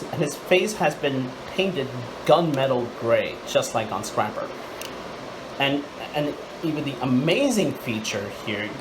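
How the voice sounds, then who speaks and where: A man hosting a casual hands-on toy review, speaking haltingly and repeating words and phrases. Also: mid-range voice. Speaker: toy reviewer, channel host. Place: indoors, room at home. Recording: loud air-conditioner-like background noise.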